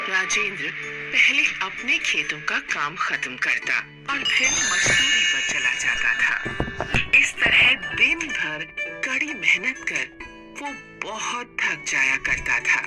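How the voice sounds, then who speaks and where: A woman storyteller reading scripted narration for a moral story. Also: fairly low pitch.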